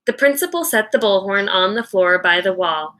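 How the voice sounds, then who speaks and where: Bright-voiced woman reading a story aloud. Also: medium pitch, animated. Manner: deliberate, dramatic storytelling. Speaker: teacher reading a children's novel aloud. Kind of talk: expressive read-aloud narration.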